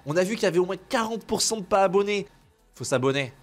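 Lively French streamer on a headset. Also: male voice, high voice, very fast. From France. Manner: animated, shouty, mixes French and broken English. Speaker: gaming streamer. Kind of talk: excited gameplay chat with a stranger. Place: home gaming room. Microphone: headset mic.

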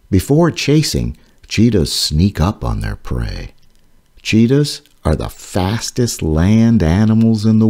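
A man reading his own children's picture book aloud, in a gentle storytelling manner with a lively rise and fall. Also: sits low. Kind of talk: slow children's read-aloud. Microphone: voice-over over book pages.

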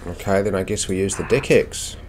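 Very deep-voiced man, casual game commentary. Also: a little fast, darkish tone, a little hiss or hum. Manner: thinking aloud. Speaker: gaming video creator.

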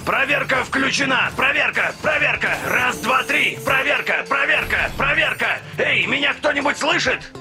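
A small cartoon robot with an extremely high voice. It is loud and excitable. Music plays clearly behind it. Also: male voice, moderate pace. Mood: excited. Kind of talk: animated series dub. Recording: music under the voice.

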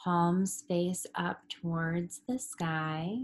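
A woman leading a guided relaxation as a yoga instructor, soothing and unhurried, with long pauses and breathy phrase endings. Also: fairly low pitch, little pitch movement.

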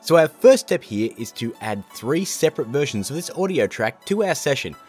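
A man hosting a software tutorial in a casual, chatty, jokey narrating style. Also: mid-range voice, big pitch swings, normal speed, faint music behind. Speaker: tutorial host.

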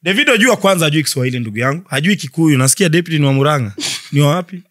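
Very fast-talking young Kenyan man. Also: fairly high pitch, animated, fairly bright tone, dry recording, studio quality. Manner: rapid joking banter. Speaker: podcast co-host. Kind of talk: casual chat in Sheng and English. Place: studio living-room set, indoors. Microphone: boom mic on stand.

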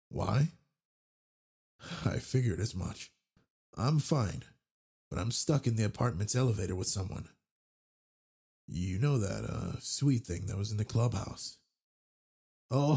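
A man performs a brawny male werewolf alpha for a romantic audio drama. The voice is very husky and low, with a gravelly creak. He is flirtatious yet gentle and protective. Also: exaggerated voice. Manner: slow, soft, teasing. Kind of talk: intimate romantic audio roleplay.

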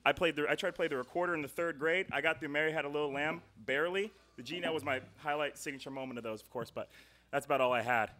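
A man's voice: fairly high pitch, quick pace, sharp tone. A young man hosting a live show in a chatty, rambling, jokey style into a close microphone. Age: young adult. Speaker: host. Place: indoor studio stage. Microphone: close stand microphone.

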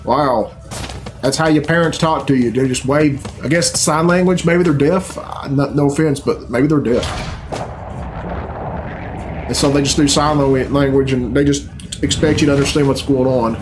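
A man's voice: mid-range voice, moderate pace, poor audio. A man in his thirties giving casual, off-the-cuff reaction commentary in a blunt, grumbling, puzzled way, swearing freely. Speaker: reaction video host. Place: small furnished room at home. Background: game cutscene music and sound.

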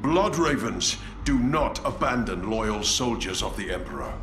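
An actor playing a stern, resolute space marine commander in a video game, speaking solemnly and formally. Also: male voice, on the low side, lively intonation, measured pace, roomy sound, over music. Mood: grave, firm. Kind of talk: dramatic video game acting.

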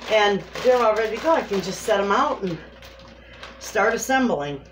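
A woman's voice: medium pitch, expressive, moderate pace. Chatty woman in an echoey kitchen. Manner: chatty, homey, talks straight to viewers. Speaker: homesteading cooking vlogger. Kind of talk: casual kitchen demonstration. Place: home kitchen. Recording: echoey kitchen with clear background noise.

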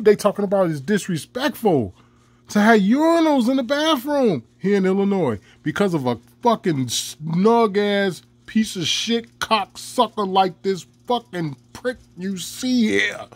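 A man, ranting angrily in a profane commentary. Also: sits high. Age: 40s. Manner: profane, heated, laughs mid-rant.

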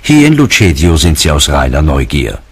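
Very deep male documentary narrator. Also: very animated, normal speed, breathy edge. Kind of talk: scripted narration.

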